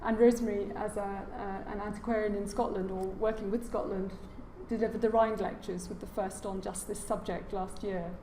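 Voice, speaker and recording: A soft-spoken woman giving a formal lecture as a guest speaker, with frequent hesitations and fillers. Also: mid-range voice, steady tone, moderate pace, hardly any pauses. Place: large lecture room. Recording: echoey lecture hall, faint noise.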